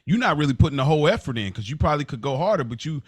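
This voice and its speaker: A man's voice: fairly high pitch, sing-song, racing, husky, no echo. An American man giving opinions in a casual discussion, assertive and emphatic, repeating his key points. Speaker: podcast co-host.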